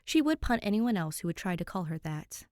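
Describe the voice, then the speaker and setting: A woman's voice: on the low side, big pitch swings, brisk, a little mellow, no echo, studio quality. A woman hosting and narrating fan fiction, chatting to listeners and reading expressively. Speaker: podfic reader and channel host.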